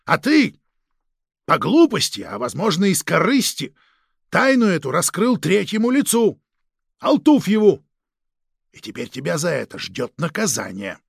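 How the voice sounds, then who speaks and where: A male narrator acts out a domineering police chief in a crime audiobook. The voice sits fairly high, with a gravelly creak and a very dry, close sound. He interrogates with suspicion and hard authority. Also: sing-song, moderate pace, no room sound, exaggerated voice. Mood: angry, stern. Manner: commanding, interrogating, barking orders. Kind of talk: dramatic audiobook character voice.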